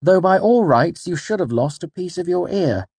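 A man's voice: high-pitched, expressive, normal speed, a little mellow, husky, dry recording, pitched above the performer's own voice, full character voice. A man voicing an indignant, theatrical young male fae creature, fussy and haughty, for a storybook. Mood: fussing, curious.

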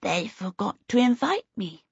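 A woman voicing a coy little boy in a storybook, with a sweet, soft lisp and an earnest, wheedling way. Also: medium pitch, very animated, creaky, breathy edge, no room sound, dull audio, exaggerated voice. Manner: coy, drawn-out, devout politeness.

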